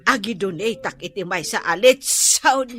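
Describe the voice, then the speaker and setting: A woman's voice: medium pitch, expressive, unhurried, a bit bright, dry recording, clean studio sound. A woman playing a nosy aunt who teasingly and insistently pushes her niece to marry, in a comedy radio drama.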